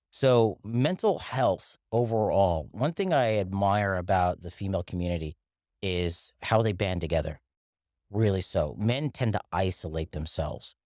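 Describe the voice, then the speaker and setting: Deep-voiced Filipino-born man, dry sound. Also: darkish tone, no echo. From Philippines. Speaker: interview guest, wellness coach. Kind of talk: reflective interview talk.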